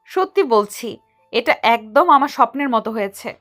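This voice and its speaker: Romance audio role: a woman playing a bashful, loving young doctor wife, coy and high-pitched, with music underneath. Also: expressive, normal speed, a bit bright. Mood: delighted. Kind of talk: romantic audio story acting.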